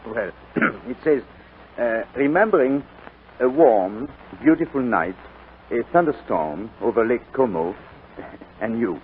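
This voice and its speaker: An actor playing a suave, flirtatious French playboy in a 1940s radio romance, speaking with a French accent. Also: male voice, mid-range voice, expressive, a little slow, close to an everyday voice. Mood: intimate, hesitant. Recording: crackly, narrow-band 1940s radio broadcast.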